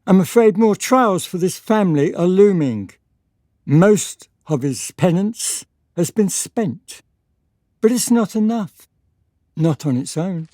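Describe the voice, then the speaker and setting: A woman playing a stern, evasive lord and father in a period radio drama, speaking in a measured, formal, patronizing way. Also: on the low side, warm tone, no room sound, studio quality. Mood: solemn, ominous.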